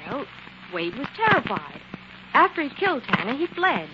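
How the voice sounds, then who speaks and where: An actress playing an eager, confident assistant to a sleuth, pert and animated, in a 1940s radio drama. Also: female voice, high-pitched, moderate pace. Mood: insistent. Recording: muffled, very noisy 1940s radio recording.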